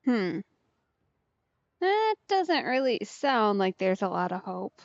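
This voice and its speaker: A woman's voice: medium pitch, very animated, slow, dry recording, lo-fi sound. A woman giving casual, chatty let's play commentary, reacting aloud as a game streamer.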